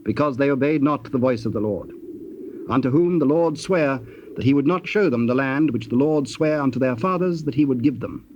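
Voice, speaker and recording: A man narrating a dramatized scripture, formal and measured in his storytelling. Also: medium pitch, a little mellow. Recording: dull old cassette, faint noise.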